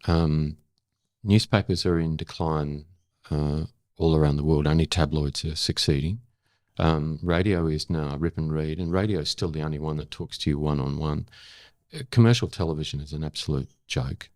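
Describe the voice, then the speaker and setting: An older man with an Australian accent, talking candidly and reflectively as an interview guest, emphatic but with halting pauses and repetitions. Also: a bass voice, steady tone, a little mellow, no room sound, studio quality. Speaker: interview guest, former journalist.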